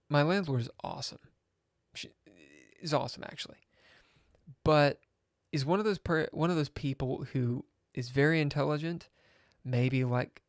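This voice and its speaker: A man tells a story slowly, with a deadpan, sardonic edge. He swears freely and swings from loud outbursts to muttered whispers. The sound is dry and close, with no room echo. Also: mid-range voice. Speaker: chess streamer. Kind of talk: rambling storytelling on a live stream.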